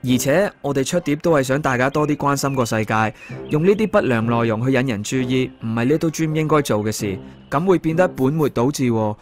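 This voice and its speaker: An actor plays an idealistic young man in a band, talking quickly at a medium pitch. He is principled, with a Hong Kong accent and radio drama delivery. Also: animated, soft background music, close to an everyday voice. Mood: earnest, reasoning.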